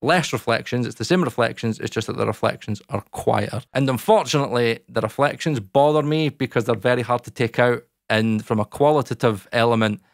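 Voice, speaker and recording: Scottish male, 30s, deep boomy tone, relaxed talky explainer delivery, very dry close-miked home studio recording. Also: a little fast, hardly any pauses, no echo. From Scotland. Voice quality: dark, boomy voice. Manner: chatty, lots of fillers, talks with his hands. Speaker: YouTube audio educator. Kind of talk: casual explainer talk to camera. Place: small home studio, indoors. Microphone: large dynamic mic close in front.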